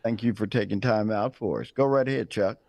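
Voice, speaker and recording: Low voiced guy hosting morning news, dry studio sound, slow and kinda hesitant. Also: vocal fry, darkish tone, no room sound. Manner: measured, hesitant with fillers. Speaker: TV news host. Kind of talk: morning TV interview.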